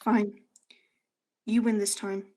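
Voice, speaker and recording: A menacing bandit from a fantasy radio play, acted by a teenage girl, demanding and threatening. The voice sits at an average pitch for a young woman. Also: little pitch movement, takes it slowly, background noise, close to an everyday voice. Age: teens. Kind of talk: student radio theatre acting.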